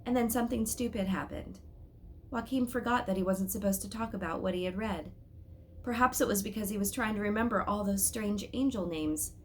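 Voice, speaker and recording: A woman reading a children's story aloud as an expressive storyteller, with dramatic, emphatic phrasing. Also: mid-range voice, a little fast, a little hiss or hum.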